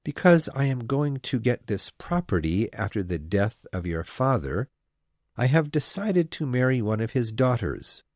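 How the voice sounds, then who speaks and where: A woman voicing a pompous, self-satisfied young male clergyman who proposes, speaking in a slow, smug, insistent way. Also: extremely low pitch, sing-song, a little mellow, dry recording, clean studio sound, pitched below the performer's own voice, exaggerated voice. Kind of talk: storybook character voice.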